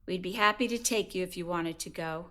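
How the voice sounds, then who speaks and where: A woman's voice: fairly low pitch, brisk, fairly bright tone, natural voice. A woman playing a patient, cautious middle-aged mother of a grown son in an audio drama. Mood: supportive.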